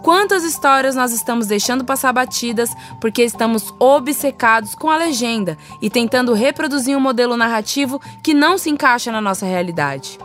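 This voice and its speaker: A woman's voice: on the high side, animated, fairly quick, hardly any pauses, a bit bright, faint music behind. A Brazilian woman hosting a podcast in a conversational style, effusive and encouraging. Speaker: podcast host.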